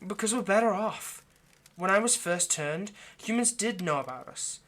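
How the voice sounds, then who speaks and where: A man playing an anxious, lovesick vampire kidnapper in an intimate audio roleplay, speaking in a halting, pleading way. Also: high voice, lively intonation, a bit bright. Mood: agitated, defensive, earnest. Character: anxious, lovesick male vampire kidnapper, centuries old but youthful.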